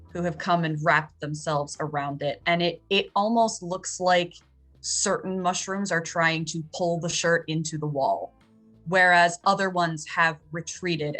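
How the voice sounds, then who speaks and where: A woman's voice: lowish voice, fairly bright tone. A woman acting as game master, improvising descriptive narration for a tabletop roleplaying game. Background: music.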